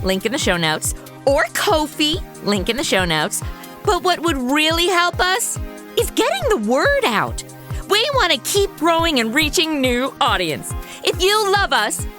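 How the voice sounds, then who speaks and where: A woman playing a sarcastic, excitable modern heroine in a comic audio drama, exclamatory and self-centred. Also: high-pitched, heavily acted.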